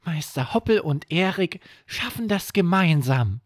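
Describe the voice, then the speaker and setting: A man's voice: sits high, very animated, a little slow, no echo, clean studio sound, voice pushed up, heavily acted. A man voicing a teasing but caring, fatherly rabbit companion in a children's bedtime story. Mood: tender, consoling. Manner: playful, teasing, protective.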